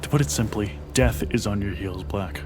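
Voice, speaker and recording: An actor playing a hostile senior agent in an audio roleplay drama, scornful, commanding and threatening. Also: male voice, fairly low pitch, moderate pace, close to an everyday voice. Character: hostile, bossy senior male agent, antagonist. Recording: music behind the voice.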